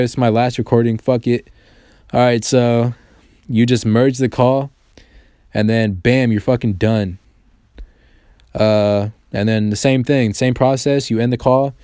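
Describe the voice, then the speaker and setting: Mellow, clear-voiced man giving casual tutorial. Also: mid-range voice, dry recording, clean studio sound. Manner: informal, profanity-laced, slangy. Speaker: tech tutorial host. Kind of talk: casual screen-recording tutorial voice-over.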